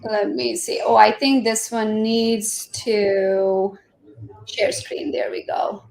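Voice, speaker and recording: A woman in her forties who grew up in Romania, speaking English with a Hungarian accent, giving a lively, chatty live presentation over a video call and laughing often. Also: fairly high pitch, slow, vocal fry, rough recording. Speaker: guest speaker. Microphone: computer mic on video call.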